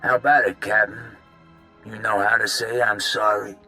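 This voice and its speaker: A man's voice: fairly low pitch, level tone, normal speed, sharp tone, faint music behind. An actor playing a menacing male crime boss in a video game, taunting and threatening. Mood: cold menace. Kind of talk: video game cutscene acting.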